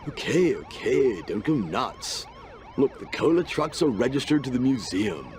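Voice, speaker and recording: An actor playing a dim, slangy young punk and petty mugger in a cartoon game, with a slacker drawl. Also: male voice, medium pitch, sing-song, measured pace, darkish tone, slight background noise. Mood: laid-back.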